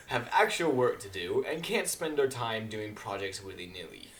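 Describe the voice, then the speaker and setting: A man's voice: on the low side, animated, moderate pace, fairly bright tone, echoey room. A man voicing a haughty, prim female cartoon pony, a classical musician with a formal, disdainful way of talking.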